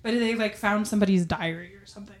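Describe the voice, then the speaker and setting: A young woman co-hosting a podcast in casual chat, a little hoarse and wheezy, talking with plenty of ums and likes. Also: medium pitch, quick pace. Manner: chatty, lots of "um" and "like". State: losing her voice, wheezy. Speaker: podcast co-host.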